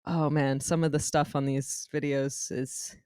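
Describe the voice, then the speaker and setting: A woman's voice: sits low, little pitch movement, a little slow, darkish tone, clear, resonant tone, no room sound, clean studio sound. A woman co-hosting a podcast in casual chat, self-mocking and trailing off as she talks. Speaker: podcast co-host.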